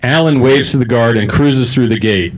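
A man's voice: medium pitch, normal speed, a little hiss or hum, low-quality recording. Man reading stage directions dryly. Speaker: narrator and host.

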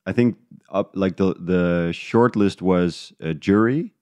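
A man from the Netherlands, talking casually in an interview, halting as he thinks aloud. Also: low-pitched, mellow, clear, resonant tone, no echo. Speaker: guest, independent podcast creator.

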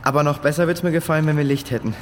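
A man's voice: on the high side, steady tone, rapid-fire, close to an everyday voice. A male actor playing an irritable, anxious boy adventurer in a children's audio drama. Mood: irritated, anxious. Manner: grumbling, impatient. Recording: reverberant cave effect, faint water noise.

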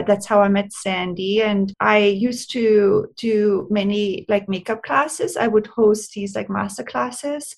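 Middle-aged woman, gravelly edge to the voice, chatty guest who drifts off track. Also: medium pitch. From Austria. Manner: rambling, loses her train of thought. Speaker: guest, makeup artist and brand co-founder. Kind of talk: casual interview talk.

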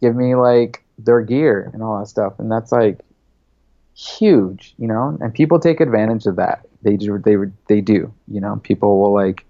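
A man's voice: on the low side, normal speed, warm tone, dry recording, muffled recording. A man in his thirties, a filmmaker and podcast guest, talking in a loose, rambling way full of fillers. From United States. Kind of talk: casual interview.

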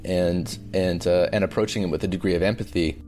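A Canadian man speaks as a filmmaker being interviewed. His voice is deep, and he talks in a reflective, halting way, often searching for the right word. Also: steady tone, darkish tone, faint music behind. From Alberta, Canada. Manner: hesitant, halting, searching for words. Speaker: documentary filmmaker, interview guest.

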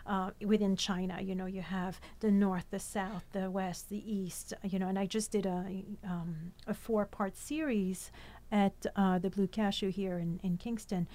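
A woman who grew up in France, talking in a relaxed interview about food and language. She is chatty and loose, full of fillers and restarts, and her pitch sits in an ordinary middle range. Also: unhurried. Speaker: cookbook author guest. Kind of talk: casual interview chat.

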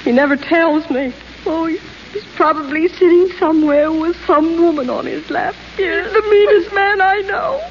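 An actress playing a plain-spoken, matter-of-fact maid, in a muffled 1930s radio broadcast. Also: female voice, very high voice, a little slow, a little hiss or hum. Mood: blunt. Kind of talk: 1930s radio play acting.

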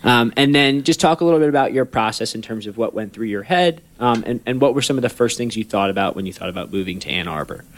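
A man's voice: medium pitch, animated, fast talker, hardly any pauses. A man co-hosting a podcast, reading listener mail and asking questions with a chatty, filler-heavy manner. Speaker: podcast co-host.

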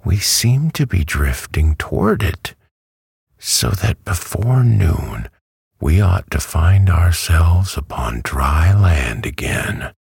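A man reading a storybook who voices a prim, easily offended female yellow hen. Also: deep voice, very animated, slow, creaky, slightly rough, no echo, studio quality, pitched above the performer's own voice, heavily acted. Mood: matter-of-fact.

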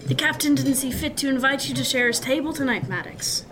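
A woman's voice: sits high, brisk, fairly bright tone. A woman playing a level-headed, dryly witty young male officer in a period seafaring radio drama, with formal nautical phrasing. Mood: dryly teasing.

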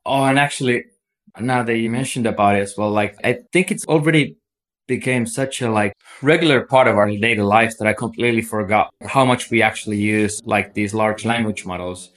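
Finnish man with a lowish voice. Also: a little fast, fairly bright tone. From Finland. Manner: explanatory, many fillers like "like" and "so". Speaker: agency CEO, guest. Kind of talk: podcast interview.

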